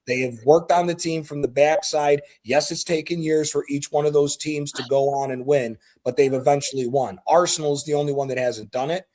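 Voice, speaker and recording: A man's voice: on the high side, fairly even intonation, brisk, few pauses, a little mellow. An American man calling in to a football chat show, making his point bluntly and swearing freely. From United States. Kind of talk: heated call-in football chat.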